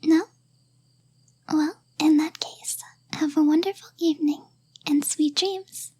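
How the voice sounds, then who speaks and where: A woman playing a polite, attentive fantasy innkeeper in a gentle, whispery, unhurried ASMR roleplay. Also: high voice, fairly even intonation. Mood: gentle, courteous.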